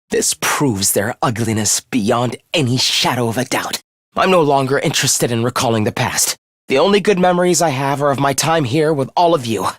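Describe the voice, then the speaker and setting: An actor playing a polite, softly menacing young man who loathes humanity, speaking in a formal, theatrical, condescending way for a video game. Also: medium pitch, dry recording, studio quality, close to an everyday voice. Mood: bitter disbelief. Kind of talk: English video game voice acting.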